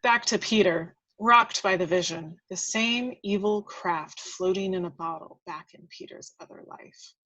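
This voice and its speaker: A woman's voice: on the low side, unhurried, hardly any pauses, sharp tone, highs cut off. A woman reading scripted action descriptions aloud at a table read, now and then stumbling and correcting herself.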